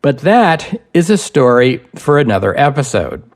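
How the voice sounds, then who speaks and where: A man narrating scripted history as a podcast host, in measured, deliberate phrasing. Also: medium pitch, very animated.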